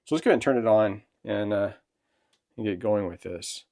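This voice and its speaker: A man's voice: fairly low pitch, vocal fry, mellow. A middle-aged man hosting a casual product demo at his home, chatting and asking questions. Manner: chatty, asks questions, quick nods of agreement. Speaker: channel host. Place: home, indoors and outdoors.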